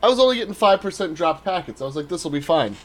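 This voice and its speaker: A man's voice: a little high, lively intonation, fast. A man co-hosting a talk stream in a blunt, casual way, swearing freely. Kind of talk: casual, profane chat.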